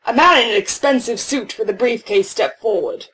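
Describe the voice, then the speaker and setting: A woman's voice: on the high side, moderate pace, a bit bright, husky. A woman narrating a scripted first-person story.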